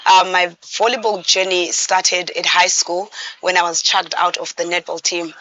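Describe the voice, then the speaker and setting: A woman from Zimbabwe, a volleyball coach calmly telling her story as a talk show guest. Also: lowish voice, normal speed, highs cut off. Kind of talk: interview answers.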